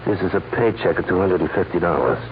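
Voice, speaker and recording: An actor playing a sly, flirtatious killer posing as a customer, with hesitant, coaxing small talk in a 1940s radio crime drama. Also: male voice, low voice, quick pace, lightly acted. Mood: casual, guarded. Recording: dull, noisy 1940s radio recording.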